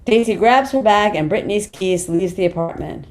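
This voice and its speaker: A woman's voice: medium pitch, expressive, normal speed. A woman reading script directions in a plain, matter-of-fact way at a table read. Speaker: stage directions reader.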